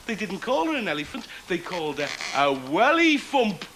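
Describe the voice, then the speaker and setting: Very high and lively, this is a cheery working-class Liverpool chancer, full of wisecracks and colloquial patter. He talks like a born yarn-spinner in a TV drama. The location sound is clearly noisy behind him. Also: normal speed, full character voice. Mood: playful storytelling.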